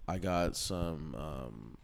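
A young man with a very low voice co-hosts a loose, casual chat. He rambles, swears freely and stalls on fillers while thinking. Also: expressive, very slow, vocal fry. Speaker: podcast co-host.

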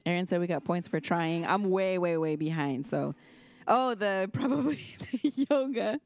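A woman with a slightly low-pitched voice banters casually as co-host of a live stream. She is wry and playful, joking as she goes. Music can be heard along with her. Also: normal speed, darkish tone.

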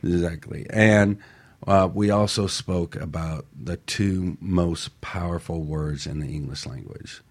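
Deep guy doing motivational radio show, emphatic, hammers his main points. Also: unhurried. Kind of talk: motivational talk radio discussion.

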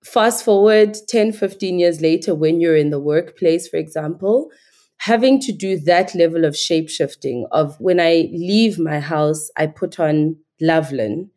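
A woman from Nigeria speaks as a podcast guest in a low, warm and mellow voice. She talks in a thoughtful, articulate and earnest way, with a measured, reflective rhythm. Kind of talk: reflective conversational interview.

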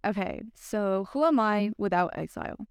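Young American female student guest, earnest and articulate, smooth tone, dry recording. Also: medium pitch, animated, moderate pace, no echo. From United States. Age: young adult. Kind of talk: podcast guest chat and poetry reading.

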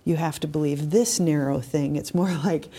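A woman co-hosting a reflective, casual discussion, explaining her points in a measured way. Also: sits low, warm tone, slightly rough. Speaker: podcast co-host.

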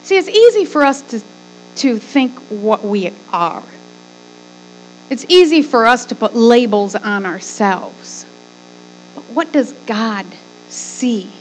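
A woman's voice: a little high, expressive, slow pace, long pauses, soft background music, rough recording. A middle-aged woman preaching conversationally as a pastor, with emphatic, folksy delivery, rhetorical questions and repeated phrases. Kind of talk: conversational sermon with acted-out asides.

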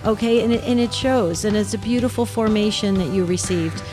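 A woman's voice: medium pitch, fairly quick, music in the background. A woman hosting a radio call-in show and encouraging her callers. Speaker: radio host.

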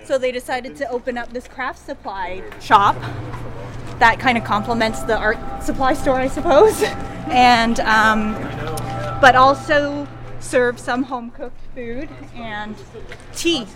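A woman's voice: fairly high pitch, takes it slowly, music in the background. A woman guiding a small group on a food tour, chatting and explaining as she goes, with fillers here and there. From Canada. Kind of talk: casual guided tour talk. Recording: loud street noise behind.

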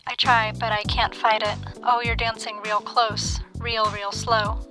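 A woman's voice: fairly high pitch, level tone, unhurried, music in the background, lightly acted. A woman playing a long-suffering phone relay operator, formally reciting messages in an audio drama. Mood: dutiful reciting.

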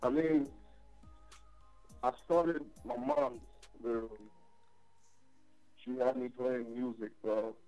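A man in his forties, born in Trinidad and Tobago, talking by phone as a guest DJ in a casual interview, loud and animated as he tells his story. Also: medium pitch, slow, pauses often, soft background music, low-quality recording. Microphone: phone line.

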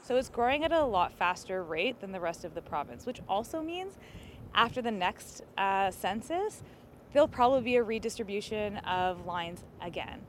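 A woman answers interview questions thoughtfully and analytically, pausing here and there to search for words. Faint background noise is heard. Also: mid-range voice, lively intonation. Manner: thoughtful, analytical, with some hesitations.

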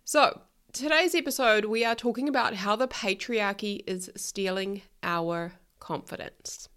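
A woman's voice: mid-range voice, unhurried, fairly bright tone, clear, resonant tone. A woman with a New Zealand accent hosting a solo podcast, talking frankly and outspokenly, her voice a little nasal from being ill. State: nasal from illness. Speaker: podcast host, life coach. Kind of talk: casual solo podcast monologue.

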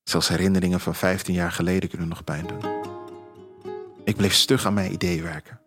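A man narrating a scripted first-person audio drama in a measured, reflective storytelling manner. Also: low voice, steady tone. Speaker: author reading own story. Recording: music under the voice. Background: music bed.

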